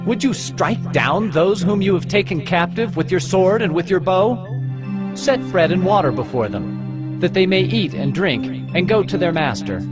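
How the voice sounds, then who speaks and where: A man's voice: high-pitched, lively intonation, moderate pace, music in the background. A man playing a commanding, fervent prophet with solemn, declamatory delivery in a dramatized Bible reading. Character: commanding, fervent holy prophet of ancient Israel. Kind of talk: dramatized Bible audio acting.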